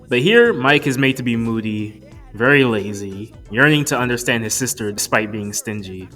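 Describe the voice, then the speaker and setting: A man's voice: medium pitch, fairly bright tone. Male reviewer, casual and opinionated, slangy conversational commentary, music underneath. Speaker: video reviewer. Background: background music.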